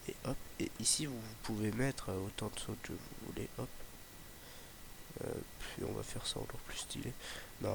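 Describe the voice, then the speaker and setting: Young guy w a slight ardeche accent, gravelly lowish voice, lots of uhs, noisy mic. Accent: slight Ardèche accent. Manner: rambling, hesitant, lots of fillers and restarts. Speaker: gaming YouTuber. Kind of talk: casual Let's Play commentary. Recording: noisy home mic recording.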